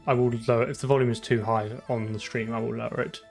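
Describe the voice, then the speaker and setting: Man with a gravelly edge, streaming casually. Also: medium pitch, level tone, quick pace, faint music behind. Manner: informal, thinking aloud. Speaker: streamer and game programmer. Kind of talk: casual live coding stream chat.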